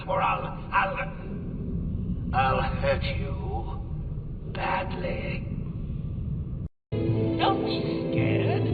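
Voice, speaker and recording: High manic game clown villain, voice leaps all over the place, slow sneering drawl, echoey tinny speaker with loud music. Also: male voice, exaggerated voice. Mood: taunting. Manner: taunting, theatrical, swings between sing-song glee and shouting. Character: manic grown male clown villain, gleefully cruel. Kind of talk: video game voice acting.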